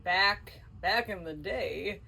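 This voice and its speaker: A woman's voice: medium pitch, slow pace, gravelly, pushed and bright, breathy edge. A tired young woman hosting a casual chat podcast, rambling and often trailing off mid-sentence. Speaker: podcast host.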